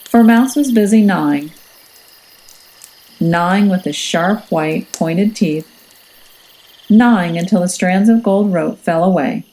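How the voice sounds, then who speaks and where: A woman reading a children's story aloud as a teacher, in a measured, expressive and suspenseful way. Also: fairly low pitch, poor audio. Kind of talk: dramatic children's storybook narration. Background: music and nature sounds.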